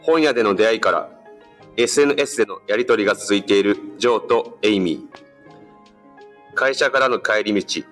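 A man's voice: on the low side, moderate pace, pauses often, a bit bright, faint music behind. A man reading scripted narration for a radio drama.

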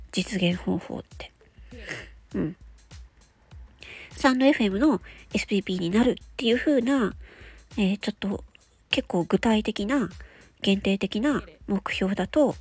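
A woman's voice: medium pitch, darkish tone, slightly rough. A woman hosting an audio show, explaining things step by step in a casual, polite way.

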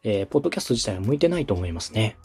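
A man's voice: fairly low pitch, very animated, rapid-fire, a little mellow, husky. A man from Osaka speaking as a podcast host, explaining things step by step in a polite, instructive way. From Osaka, Japan. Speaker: podcast host and coach, radio director. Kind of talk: consulting conversation, explaining step by step.

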